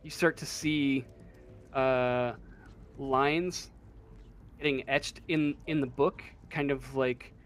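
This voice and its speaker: A man improvising narration as a tabletop game master, halting as he searches for words. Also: medium pitch, fairly even intonation. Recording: faint room noise.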